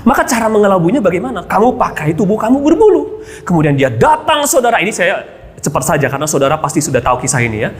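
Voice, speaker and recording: A man's voice: on the high side, sing-song, racing. A male pastor preaching a sermon in a church hall, his voice rising and falling widely from one phrase to the next. He keeps turning to the congregation with rhetorical questions. A long reverb trails every phrase. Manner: addresses the congregation constantly, asks rhetorical questions.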